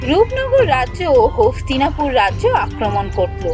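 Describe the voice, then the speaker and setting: Female cartoon storyteller, high voice with animated swings, reading scripted fairy-tale narration over music. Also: moderate pace. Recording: music under the voice.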